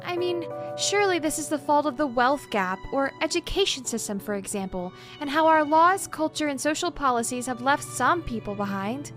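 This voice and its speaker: A woman's voice: sits high, expressive, normal speed, few pauses, fairly bright tone. A woman acting a mild-mannered, idealistic young woman in an audio drama, speaking in a formal, careful, tentative way. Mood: earnest. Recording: music behind the voice. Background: background music.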